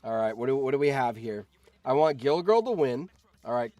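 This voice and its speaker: A man's voice: medium pitch, expressive, fairly quick, warm tone, dry recording. A man hosting a livestream, chatting casually with viewers and thinking aloud, often repeating his phrases. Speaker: livestream host.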